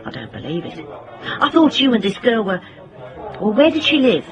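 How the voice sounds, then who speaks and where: An actress playing a tough, determined private investigator in a radio drama, dry and wry. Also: female voice, on the high side, sing-song, moderate pace, highs cut off, a crowd in the background. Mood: insistent. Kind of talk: radio drama acting with first-person narration.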